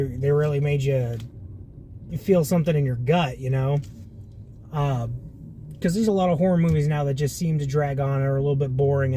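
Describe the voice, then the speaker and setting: A man from Massachusetts giving a casual, rambling spoken film review from inside a car, often restarting his sentences. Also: a little high. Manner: rambling, conversational, frequent restarts. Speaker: movie reviewer. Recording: loud car road noise. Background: car engine and road noise.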